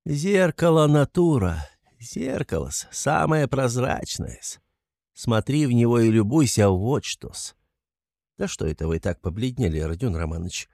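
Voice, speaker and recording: A man's voice: mid-range voice, sing-song, a little slow, vocal fry, a little mellow, dry recording, clean studio sound, exaggerated voice. A man playing a wily, fussy police investigator from a classic novel, falsely fatherly, rambling and coaxing in an obsequious way. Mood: sly, taunting.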